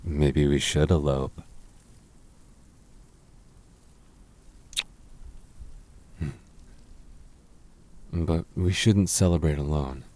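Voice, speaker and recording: A man playing a devoted young fantasy prince in a romantic roleplay, speaking tenderly and haltingly with gentle teasing. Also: extremely low pitch. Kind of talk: romantic boyfriend roleplay ASMR.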